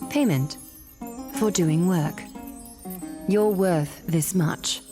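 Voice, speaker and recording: A woman playing a composed, stern noblewoman in a video game, speaking formally in measured, solemn phrases. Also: on the low side, music in the background. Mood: cool, dignified. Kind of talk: video game character acting.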